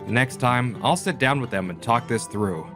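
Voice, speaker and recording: A man's voice: medium pitch, expressive, moderate pace, music in the background, lightly acted. A man playing an honest, stubbornly loyal young brother in a dubbed manga story. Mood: earnest. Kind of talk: dramatized scripted story with narration.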